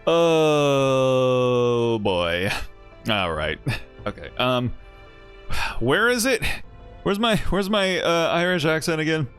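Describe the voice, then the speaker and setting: A man's voice: mid-range voice, very animated, slow, long pauses, a bit bright, smooth, faint music behind. A man chatting casually on a game stream, rambling and cutting himself off, with frequent laughs. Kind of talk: casual game stream chat, reading game text aloud.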